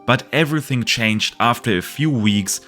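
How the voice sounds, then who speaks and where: A man's voice: mid-range voice, moderate pace, sharp tone, faint music behind. A man with a German accent talking candidly about a card game in a casual video monologue, rambling and swearing now and then.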